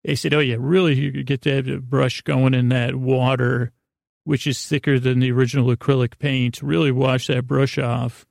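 A middle-aged man rambling through a bedtime monologue as a podcast host, halting, often interrupting himself and self-deprecating. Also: mid-range voice, level tone, creaky, darkish tone, no echo, studio quality.

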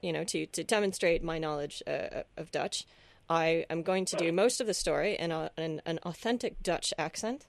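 A woman's voice: low-pitched, vocal fry, dry recording. A woman on a podcast panel, chatting casually in a self-deprecating, hesitant way.

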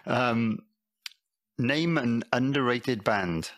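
A man, a podcast host firing off quick questions in a brisk, friendly way. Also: medium pitch, a bit bright. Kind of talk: quick-fire interview questions.

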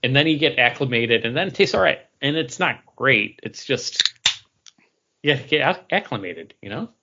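A man's voice: medium pitch, lively intonation, moderate pace, vocal fry, pushed and bright. A man co-hosting a podcast in casual chat, talking in a rambling, hesitant, conversational way. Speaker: podcast co-host. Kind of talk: casual chat, drink review. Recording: dull lo-fi recording.

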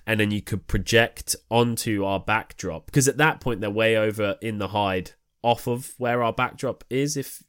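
A man with a fairly low voice talks casually as a podcast co-host, working through creative ideas in conversation. The sound is dry, with very little room around him. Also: normal speed, hardly any pauses, a bit bright, clean tone, no room sound. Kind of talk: casual creative discussion about staging a play.

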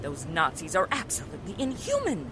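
Woman acting a haughty, animated small-town housewife. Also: deep voice, a little fast, background noise. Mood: indignant, disdainful. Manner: haughty, indignant, emphatic. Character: haughty, prejudiced small-town housewife, self-righteously patriotic. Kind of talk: 1940s radio drama acting.

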